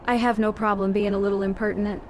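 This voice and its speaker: A woman's voice: medium pitch, flat delivery, fast, a little hiss or hum, close to an everyday voice. A woman voicing a blunt, suspicious female warrior in a video game, direct and questioning.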